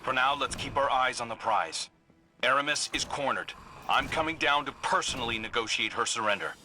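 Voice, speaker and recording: An actor playing a resolute, principled commander giving earnest mission briefings in a video game. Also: male voice, medium pitch, fairly quick, sharp tone, slight background noise, rough recording. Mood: urgent.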